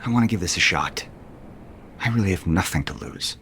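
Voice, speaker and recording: World-weary rich man, low-pitched, guarded, affectionate, hesitant, intimate soap opera drama. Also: lively intonation, fairly quick, sharp tone, a little hiss or hum. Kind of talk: audio soap opera acting.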